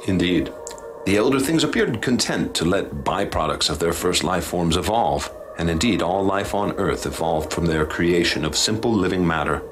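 A haunted professor in a radio drama, his voice low and grave. He speaks formally and with ominous weight, measuring out each phrase. Clear noise and ambience sit behind him. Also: expressive, normal speed. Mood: grave, measured. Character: grave haunted male professor recounting horrors he witnessed.

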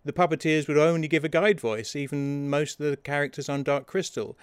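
Smooth-voiced British puppeteer. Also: male voice, on the high side, steady tone, fairly quick, no room sound, studio quality. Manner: chatty anecdotal storytelling, laughs often. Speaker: puppeteer and guide-voice performer. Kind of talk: casual interview chat.

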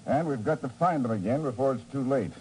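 A man's voice: medium pitch, animated, a little fast, darkish tone, natural voice. An actor playing an urgent, skeptical embassy intelligence man in a 1950s radio drama. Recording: noisy vintage 1950s radio broadcast.

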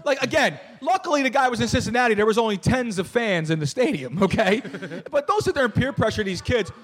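A man hosting a casual sports podcast, animated, often stumbling and restarting his sentences. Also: very high-pitched, fast talker. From Philadelphia area. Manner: animated, stammering restarts, mock play-by-play calls. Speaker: podcast host.

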